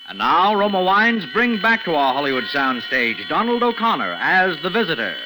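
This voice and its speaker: A man's voice: fairly high pitch, very animated, moderate pace, rough recording. A man introducing a thriller program as a 1940s radio announcer. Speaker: show announcer. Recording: 1940s radio broadcast over loud music.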